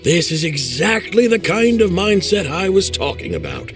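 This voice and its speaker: A hammy, boastful stage actor. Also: male voice, high-pitched, wildly swooping pitch, normal speed, a bit bright, faint music behind, full character voice. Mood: theatrical. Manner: grandiose, hammy, laughs at himself. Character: theatrical male stage actor, boastful and playful. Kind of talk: video game voice acting.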